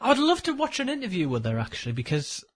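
A man chatting on a podcast with quick, animated reactions. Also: high-pitched, slightly rough. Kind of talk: casual chat about animation.

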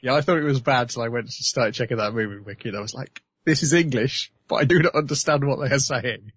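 Casting note: male podcast host, mid-range pitch, dry close sound, relaxed and chatty. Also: fast, slightly rough, no room sound. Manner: chatty, steers the topics, laughs often. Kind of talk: casual chat about animation.

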